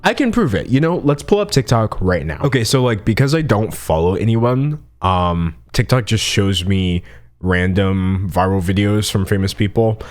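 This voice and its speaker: A man in his early twenties giving casual comedic commentary as an online video host, self-mocking, with dramatic pauses and emphasis. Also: fairly low pitch, lively intonation.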